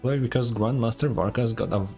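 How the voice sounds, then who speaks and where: A man's voice: medium pitch, fairly quick, creaky, dark tone, soft background music. A man livestreaming a game, commenting casually in a laid-back, slangy way and reading game text aloud.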